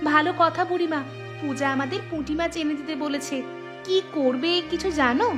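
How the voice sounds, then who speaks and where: A woman's voice: high-pitched, fairly quick, music in the background, natural voice. A woman voicing a sympathetic, inquisitive village neighbour in a cartoon, chatty and full of concerned questions. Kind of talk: cartoon voice acting.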